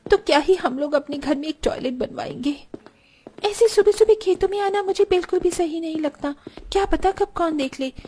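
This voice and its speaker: A woman voicing a weary, poor village daughter-in-law, plaintive and earnest, in an animated family story. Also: high-pitched, slight background noise. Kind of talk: animated Hindi storybook dialogue.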